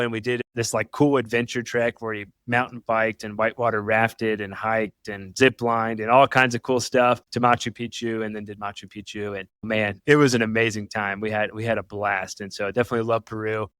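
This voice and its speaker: A man's voice: lowish voice, little pitch movement, fairly quick, few pauses, fairly bright tone, smooth, dry recording. Effusive, upbeat man hosting a podcast. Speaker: podcast host. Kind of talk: enthusiastic interview chat.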